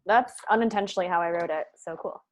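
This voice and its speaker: A woman's voice: on the low side, fast. Casual woman leading a group discussion. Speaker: writer leading a script table read.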